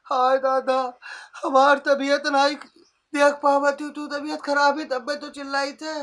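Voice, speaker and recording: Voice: high voice, flat delivery, measured pace, a bit bright. A performer doing a wailing old mother-in-law. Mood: moaning. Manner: wailing, moaning, nagging. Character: groaning old mother-in-law, cranky and complaining.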